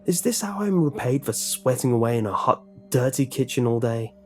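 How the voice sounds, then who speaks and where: A man's voice: medium pitch, lively intonation, normal speed, dark tone, soft background music, lightly acted. A man voicing a bitter, menacing middle-aged lunch lady with a heavy accent, who barks short orders in broken speech. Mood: resentful. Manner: resentful, threatening, barking short orders.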